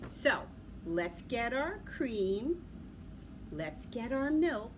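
High-pitched woman hosting children's story time. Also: very slow. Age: middle-aged. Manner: sing-song, animated, addressing small children with simple questions. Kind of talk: children's story time, talking and singing to young kids. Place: large library room with big windows, indoors. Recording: clear background noise, room ambience.

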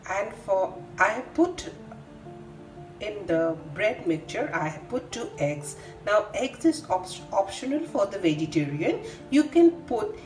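Indian lady talking thru a recipe, kinda halting, bouncy pitch, roomy echo, music behind. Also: a little mellow, distant mic. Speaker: home cooking vlogger. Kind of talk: step-by-step recipe narration. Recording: roomy echoing home kitchen recording.

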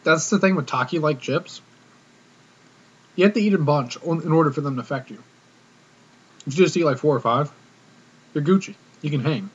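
A young man chatting casually to camera in a cocky, slangy, animated way, like a comedic vlogger. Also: on the high side, moderate pace, long pauses, husky. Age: young adult. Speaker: vlogger, prank caller. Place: small room indoors. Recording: dull webcam audio with noticeable hiss.

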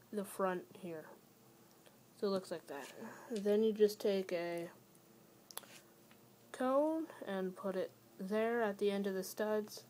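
A young woman hosting a casual building tutorial from a small dim room at home, talking hesitantly with many fillers and pauses, her voice often trailing off quietly. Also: mid-range voice, a little hiss or hum. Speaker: toy-building tutorial host. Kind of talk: casual how-to narration.

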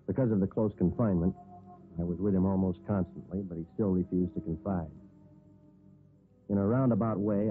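A man's voice: sits low, close to an everyday voice. An actor playing a matter-of-fact police detective who narrates his case in clipped, formal sentences for a radio crime drama. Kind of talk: scripted first-person radio crime narration. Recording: dull old radio recording.

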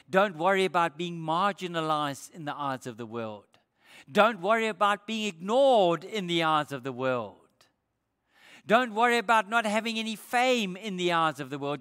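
An older man preaching a sermon as pastor, emphatic, lifting his voice on scripture quotes and dropping low for quiet points. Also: clean tone. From Australia. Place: church stage with brick wall. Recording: church hall with long reverb.

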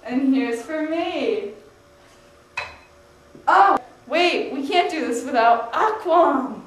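Teen girl, high voice, slow hammy host delivery, echoey room; giggly mock cooking show. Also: animated, a little hiss or hum. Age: teenage. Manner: giggly, hammy, stumbles over words.

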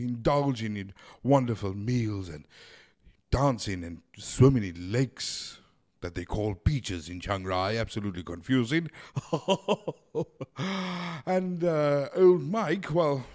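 Theatrical, mock-formal comedy host. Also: male voice, mid-range voice, animated, takes it slowly, a little mellow. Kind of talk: hammy scripted comedy hosting. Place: small home studio. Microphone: desk mic on boom arm.

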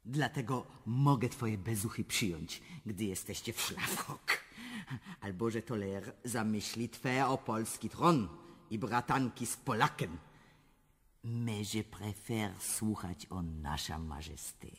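Imperious, slow-spoken foreign-tinged empress. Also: female voice, extremely low pitch, breathy edge, heavily acted. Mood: coquettish, sentimental. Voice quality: foreign-tinged speech mixing German, French and Russian words. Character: imperious mature empress, coquettish yet commanding. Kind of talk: theatrical radio drama acting. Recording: long reverberant hall sound.

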